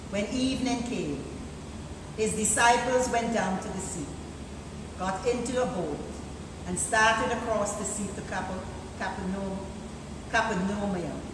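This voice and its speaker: A woman reads a liturgical scripture passage at a very slow, solemn pace. Her voice rings through a church with a long reverb. The live recording is distant, with clear background noise. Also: mid-range voice. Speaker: deacon reading scripture in church. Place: large church interior, live service. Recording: echoey church from afar, noisy live recording.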